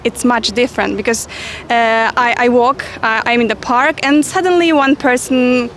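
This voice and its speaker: A young woman from Poland with a Polish accent, talking in halting English with many fillers in a street interview outdoors. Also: fairly high pitch, fairly even intonation, fairly bright tone, slight background noise.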